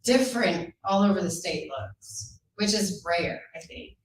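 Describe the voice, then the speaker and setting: A woman's voice: mid-range voice, measured pace. A woman from Texas, speaking candidly and casually as a filmmaker on a panel. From Katy, Texas. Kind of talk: panel discussion. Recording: echoey room, distant mic, faint noise.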